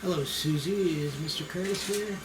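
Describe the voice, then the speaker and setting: A man's voice: a little high, moderate pace, music in the background, natural voice. Man reading nervy, polite agent, echoey room. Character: nervy, polite male investigative agent, self-muttering, dutiful. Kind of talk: amateur screenplay table read.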